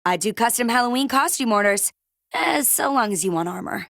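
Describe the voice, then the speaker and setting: An actress voicing an excitable, cheery young girl in a fantasy game. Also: on the high side, brisk, vocal fry, dry recording. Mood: cheery, brisk.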